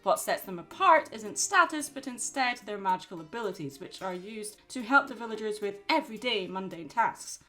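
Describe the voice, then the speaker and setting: A woman's voice: medium pitch, expressive, moderate pace, hardly any pauses, pushed and bright, soft background music. A woman reading a scripted video essay as narrator, with a wry manner and dry asides.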